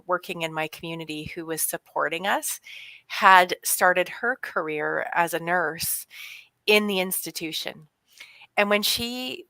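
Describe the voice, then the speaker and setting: A woman on a video call panel speaks with a bright, forceful edge. Her delivery is thoughtful and halting, full of restarts as she tells a story. The sound is dry and close. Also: on the low side, no room sound. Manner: thoughtful, many restarts and hesitations. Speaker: panelist, HR director.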